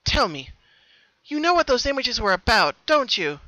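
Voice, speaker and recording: Man voicing an earnest, high-pitched young pony mare. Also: big pitch swings, moderate pace, bright, forceful tone, no room sound, exaggerated voice, low-quality recording. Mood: earnest pleading. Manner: earnest, pleading, hesitant. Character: troubled, earnest young female pony searching for answers.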